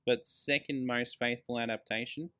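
An Australian man with a medium-pitched voice co-hosts a casual podcast about films. He talks like a bloke among mates, stressing his points and swearing freely. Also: fairly even intonation, moderate pace, fairly bright tone. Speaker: podcast co-host. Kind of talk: casual film podcast chat.

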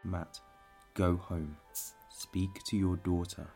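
Man playing a deep, gravelly, wise bartender. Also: level tone, a little mellow, faint music behind, heavily acted. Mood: calm, advising. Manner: measured, formal, unhurried. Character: calm, courteous, wise male bartender, gently advising. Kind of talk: audio drama acting.

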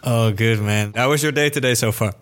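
A man's voice: medium pitch, brisk, faint music behind. A man hosting a podcast, narrating a scripted intro and then chatting casually and friendly with a guest. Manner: relaxed, friendly, uses "man". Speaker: podcast host.

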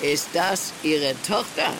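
A man's voice: on the high side, lively intonation, takes it slowly, gravelly, noisy background, heavily acted. An actor playing a wary, curt old village woman with foreboding in an audio drama. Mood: ominous, curt. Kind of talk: German audio drama acting.

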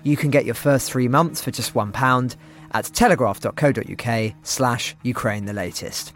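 A man's voice: medium pitch, normal speed, fairly bright tone. A man hosting a podcast, reading a scripted outro. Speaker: podcast host. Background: music bed.

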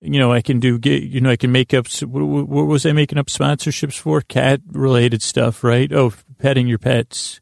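Creaky-voiced man, rambling and halting. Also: medium pitch, steady tone, darkish tone, no room sound, studio quality. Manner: halting, stumbling, self-interrupting, circling back on words; self-deprecating, goofy wordplay. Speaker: podcast host. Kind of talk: rambling, meandering bedtime sleep podcast monologue.

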